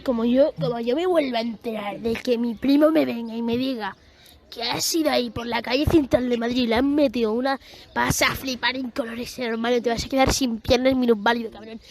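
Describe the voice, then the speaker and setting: A woman's voice: on the high side, steady tone, brisk. A woman trolling in aggressive, slangy street talk. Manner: confrontational, aggressive. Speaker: troll caller. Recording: faint background noise, clicks.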